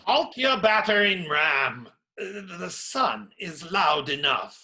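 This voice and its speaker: High-voiced, earnest priest. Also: male voice, animated, slow pace, bright, forceful tone, heavily acted. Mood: weary, wry. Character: kindly male priest, indignant, fond of drink. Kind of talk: radio play acting.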